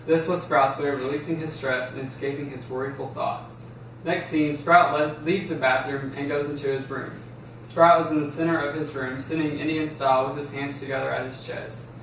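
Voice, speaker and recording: A man's voice: a little high, level tone, normal speed, fairly bright tone. A man reading scripted stage directions aloud at a table read, sometimes stumbling and restarting. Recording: very echoey room, distant mic, background hiss.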